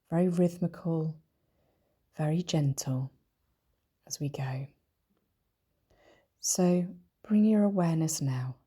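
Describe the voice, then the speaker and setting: A woman leading a guided meditation in a soft, breathy, whispery voice, speaking gently and soothingly with long, deliberate pauses. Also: sits low. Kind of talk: guided meditation, body scan.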